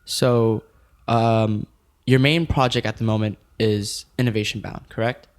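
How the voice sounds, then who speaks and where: A man's voice: lowish voice, fairly even intonation, measured pace. A young man hosting a podcast interview, casual and upbeat, using fillers like "kinda". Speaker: podcast host.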